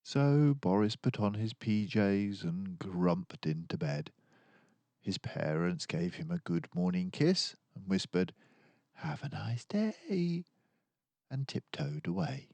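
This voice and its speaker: An older man narrates a children's storybook at an unhurried pace. His pitch moves in lively swings, and the sound is dry and close. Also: dry recording. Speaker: storybook reader.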